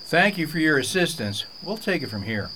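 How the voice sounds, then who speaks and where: A man's voice: on the high side, sing-song, a little fast, sharp tone. A man playing a brusque, suspicious government agent in a radio drama. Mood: cold. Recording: noisy, with cricket-like background.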